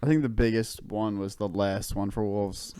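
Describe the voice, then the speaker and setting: A man co-hosting a casual football podcast, animated and quick to laugh. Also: on the low side, clean tone, no room sound. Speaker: podcast co-host. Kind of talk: casual chat.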